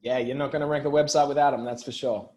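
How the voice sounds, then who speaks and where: A man's voice: mid-range voice, fairly even intonation, fast, darkish tone. A man with an Australian accent, speaking as an interview guest and explaining things in an informal, conversational way. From Brisbane, Australia. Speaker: interview guest, marketing entrepreneur.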